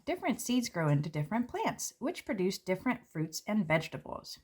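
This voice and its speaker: A woman, a children's book author, reading her picture book aloud and walking through its pages in a gentle, explanatory way. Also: medium pitch, big pitch swings, a little fast, slightly rough. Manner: gentle, explanatory, with light fillers. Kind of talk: read-aloud and book walkthrough. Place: indoors at a table.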